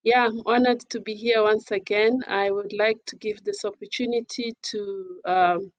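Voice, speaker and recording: Woman, panel speaker, formal, careful hesitant phrasing, medium pitch, dry recording. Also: steady tone, normal speed, no room sound, low-quality recording. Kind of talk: panel talk introducing herself and discussing.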